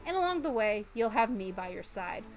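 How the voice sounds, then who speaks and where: A woman voicing a charming, courtly, self-promoting gentleman chicken tycoon from a visual novel. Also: a little high, sing-song, brisk, loud music behind the voice. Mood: gently reassuring.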